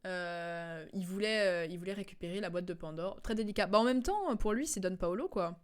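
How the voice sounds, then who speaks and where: A woman's voice: lowish voice, a little fast, clean tone. A woman streamer giving casual live commentary on game cutscenes, recapping in a hesitant, rambling, amused way.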